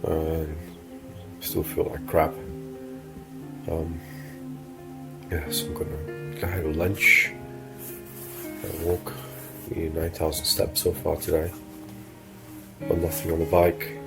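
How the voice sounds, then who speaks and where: A man's voice: a bass voice, little pitch movement, slow, creaky, pauses often, music in the background. A man with a blocked nose talking casually to camera as a motorcycle travel vlogger, tired and laid-back with lots of fillers. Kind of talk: casual vlog talk to camera.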